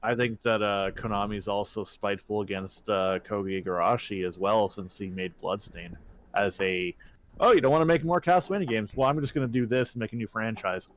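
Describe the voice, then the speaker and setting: A man's voice: on the low side, a little fast, a little hiss or hum. A man co-hosting a podcast in casual, conversational chat. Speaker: podcast co-host.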